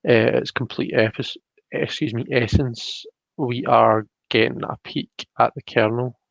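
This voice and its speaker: A man's voice: medium pitch, steady tone, measured pace, vocal fry. Man chatting casually in a roomy space. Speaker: host of a live tarot reading show. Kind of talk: casual live-stream chat. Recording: muffled, roomy home live-stream sound.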